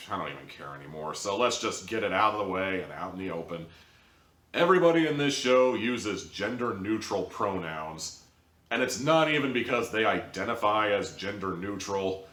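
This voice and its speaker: A man's voice: low voice, bright, forceful tone. A man giving a casual, opinionated review as a show host, blunt and conversational, rambling off into asides. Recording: roomy, echoing home recording.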